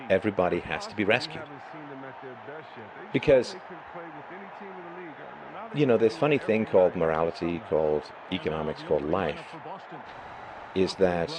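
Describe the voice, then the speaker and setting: A middle-aged man with a hoarse voice, hosting a live stream and talking through his views in an emphatic, lecturing monologue. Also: deep voice, sing-song, normal speed, long pauses, crowd noise, poor audio. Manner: emphatic, lecturing, with rising bursts of stress. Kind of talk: monologue commentary.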